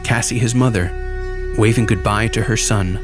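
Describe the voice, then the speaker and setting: A man giving a dramatic first-person horror narration, intense and deliberate, in halting short phrases. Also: on the low side, fairly even intonation. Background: music.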